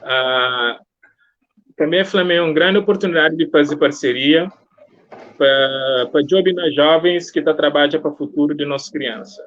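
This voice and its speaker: A man's voice: on the high side, moderate pace, fairly bright tone. A man hosting a casual live-streamed interview, chatting with guests and viewers. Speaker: interview host. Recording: muffled, lo-fi online stream audio.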